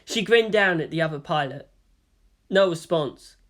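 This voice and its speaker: A man reading fan fiction aloud as an expressive audiobook narrator. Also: a little high, a little slow.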